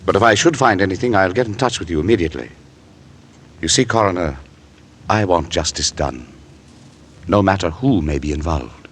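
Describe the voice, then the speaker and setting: A man's voice: deep voice, lively intonation, normal speed, pauses often, a bit bright. A man acting a grave, evasive witness with a hesitant, solemn way of talking, in old-time radio drama style. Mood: grave, guarded. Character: guarded, grave man testifying about his brother. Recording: old radio, faint hiss.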